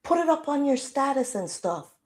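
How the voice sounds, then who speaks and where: A Jamaican woman hosting a casual livestream chat in a loud, projecting voice, firing off rhetorical questions with easygoing slang. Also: high voice, sing-song, normal speed. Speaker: livestream host.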